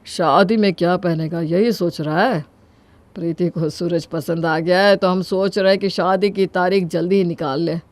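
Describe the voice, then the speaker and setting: A woman's voice: on the low side, steady tone, normal speed, darkish tone, natural voice. A woman voicing a wry, disapproving older father in a story, with a dry, chiding manner. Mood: wry grumbling.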